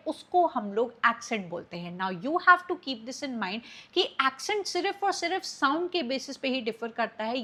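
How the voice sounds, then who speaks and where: A woman's voice: high-pitched, sharp tone. A woman from India with an Indian accent, teaching an exam-prep lecture and explaining terms while mixing Hindi and English.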